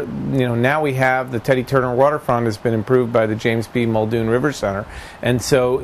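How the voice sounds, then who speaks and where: A man's voice: mid-range voice, a little hiss or hum. A middle-aged man, a college sailing coach, speaking eagerly outdoors by a marina, with many fillers. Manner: enthusiastic, filler-heavy speech. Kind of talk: outdoor interview.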